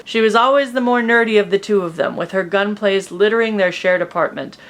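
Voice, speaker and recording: A woman reading fan fiction aloud as an expressive, amused comedic narrator. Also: mid-range voice, brisk.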